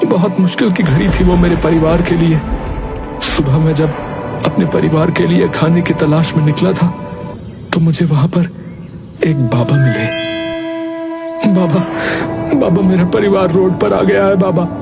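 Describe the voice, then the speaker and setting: A man doing a calm, earnest shopkeeper father. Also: high voice, measured pace, gravelly, pauses often. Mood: sorrowful. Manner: soothing, earnest. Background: background music.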